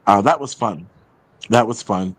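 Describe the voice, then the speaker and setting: A man's voice: fairly low pitch, measured pace, no room sound. A man hosting a show, chatting casually through announcements and often repeating phrases for emphasis. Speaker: show host and playwright.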